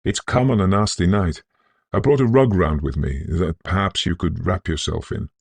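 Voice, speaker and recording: A man's voice: low voice, normal speed, creaky, breathy edge, dry recording, voice pushed up, heavily acted. A man voicing a humble, kindly working-class night watchman in an audiobook, anxious and deferential. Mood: concerned, apologetic. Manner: deferential, concerned.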